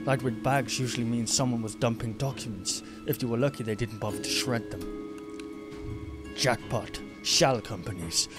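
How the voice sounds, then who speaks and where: A rain-soaked crime game's narrator, a haunted detective acted by a man brooding in measured lines over the soundtrack. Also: mid-range voice, close to an everyday voice. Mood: grim, suspicious. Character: brooding, haunted noir male detective, self-doubting monster.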